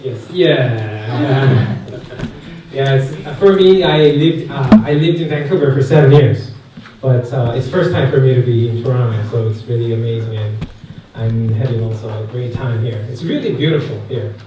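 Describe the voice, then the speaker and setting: A man's voice: mid-range voice, moderate pace, dark tone. A man in his thirties from Korea with a Korean accent, giving a chatty personal talk to a youth camp and asking the crowd questions. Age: 30s. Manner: chatty, asks the audience questions, many fillers. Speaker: guest speaker at a youth camp. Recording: echoey hall, mic far off, faint noise.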